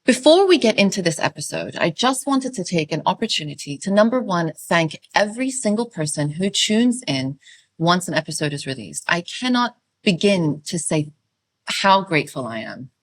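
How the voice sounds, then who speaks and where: A woman's voice: lowish voice, big pitch swings, moderate pace, no echo. A woman in her thirties hosting a podcast, friendly and sometimes hesitant as she searches for words. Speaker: podcast host. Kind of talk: podcast interview.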